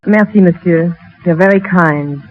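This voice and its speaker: A woman's voice: lowish voice, a little slow, close to an everyday voice. A woman playing a proud, reserved European music-hall entertainer in a 1930s radio drama. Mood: polite, flustered. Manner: cool, reserved, polite. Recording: tinny, hissy 1930s radio recording.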